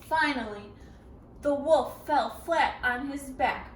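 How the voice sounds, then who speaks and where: A woman reading a storybook aloud to children in an expressive, interactive way, with dramatic gasps and prompting questions. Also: high-pitched, slow pace. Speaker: children's story reader, teacher. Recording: roomy, distant, faint background noise.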